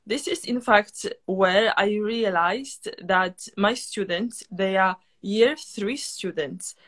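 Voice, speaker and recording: A woman from Poland, a university teacher hosting an interview in measured, slightly formal phrasing. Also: medium pitch, a bit bright. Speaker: podcast host, university teacher. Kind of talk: interview conversation.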